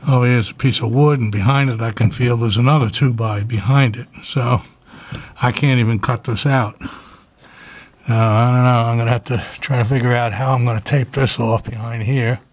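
A middle-aged man casually narrating his home repair work, unhurried and rambling with frequent uhs and throat clearing, recorded on a handheld camera in his garage workshop. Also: medium pitch, steady tone. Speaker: DIY home repair vlogger. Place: garage workshop and attic crawl space, indoors.

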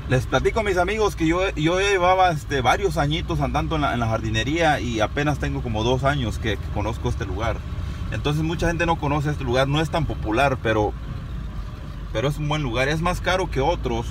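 A man in his thirties with a Mexican accent, a landscaper chatting casually to his viewers as friends and often repeating himself, recorded on a handheld phone. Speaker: landscaper and vlogger. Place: outdoors at a dump yard and inside a truck. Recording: noisy phone recording with vehicle rumble.

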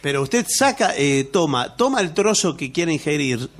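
An Argentine man with a Rioplatense accent and a fairly high, very lively voice. He co-hosts comic radio banter, protesting with mock formality. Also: normal speed. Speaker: radio comedy show co-host.

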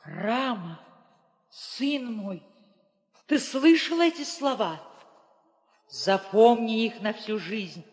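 Grieving queen, declamatory, very slow delivery, long reverb, old radio play. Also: medium pitch. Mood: solemn, urgent. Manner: declamatory, drawn-out. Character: grieving queen, mother of Rama, solemn and commanding. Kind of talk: 1960s Soviet theatrical audio drama acting. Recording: old reverberant studio recording with music.

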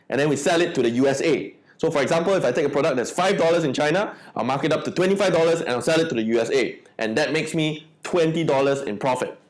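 A young man with a Malaysian accent presents a promotional explainer as a coach. His voice sits a little high, picked up by a lapel mic in a small room. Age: young adult. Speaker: e-commerce coach.

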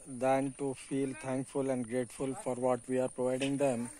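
A man's voice: mid-range voice, little pitch movement, moderate pace, mellow, low-quality recording. Indian man narrating a farm walk by phone. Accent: Indian English. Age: middle-aged. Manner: earnest, explanatory, preachy asides. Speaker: farm vlogger. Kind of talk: walking selfie commentary. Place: outdoors on a rural farm. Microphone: phone held at arm's length.

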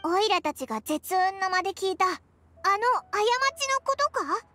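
A tiny, curious fairy sidekick from an anime game, speaks in an extremely high, piercingly bright childlike voice. The phrasing is boyish and questioning, with music underneath. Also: female voice, animated, unhurried, exaggerated voice. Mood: puzzled, concerned. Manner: exclaiming, questioning, boyish speech. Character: small childlike fairy companion, curious and easily astonished. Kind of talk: Japanese anime-style game voice acting.

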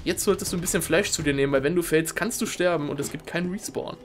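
A young man hosting a game show, giving informal, excitable live commentary on a video game race. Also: fairly high pitch, fast talker, slight background noise. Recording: music behind the voice.

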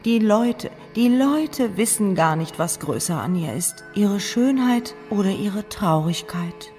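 A woman's voice: medium pitch, expressive, takes it slowly, a little mellow, faint music behind. An actress playing a musing, gossiping woman of the royal court in a fairy-tale audio play. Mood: pondering. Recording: long hall reverb.

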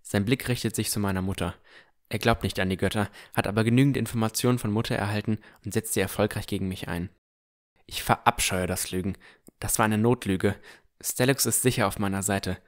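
Low-voiced man narrating fantasy. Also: steady tone, fairly quick. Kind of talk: scripted first-person fantasy narration.

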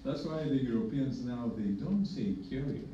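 A middle-aged man from Greece with a Greek accent, speaking on a conference panel as a hepatologist, measured and emphatic with dry humor. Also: mid-range voice, lively intonation, far from the mic. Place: conference room with projector. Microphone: podium mic, filmed from far in the room. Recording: echoey room from afar, dull and noisy.

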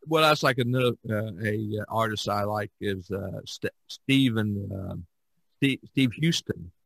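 A man hosting a casual podcast chat, speaking haltingly and often repeating his words. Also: on the low side, vocal fry, a bit bright, no room sound, poor audio. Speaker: podcast host.

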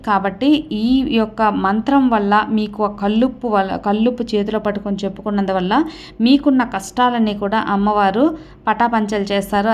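A woman's voice: medium pitch, darkish tone. Woman giving step-by-step instructions. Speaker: host of a devotional remedy channel. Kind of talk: explanatory talk to viewers, instructional.

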